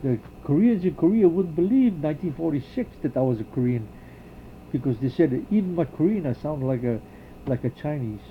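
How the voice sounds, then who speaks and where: An older man from Hawaii, speaking slowly and haltingly with many pauses and restarts, as he answers questions in an oral history interview. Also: fairly high pitch, lively intonation, narrow, tinny audio, slight background noise.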